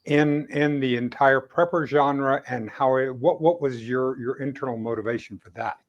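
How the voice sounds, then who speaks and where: A slightly dull, lo-fi recording of a man hosting an informal conversation. His pitch is average, and he frames things haltingly, repeating words as he goes. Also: steady tone. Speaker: host. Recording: dull, band-limited sound.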